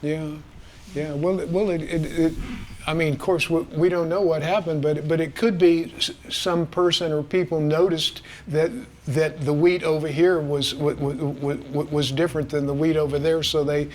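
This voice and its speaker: A man speaks casually in a community room, his voice sitting a little high as he stammers and repeats words while answering questions from the audience. Faint room noise hangs in the background. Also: steady tone, moderate pace, a little mellow. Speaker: author at a reading.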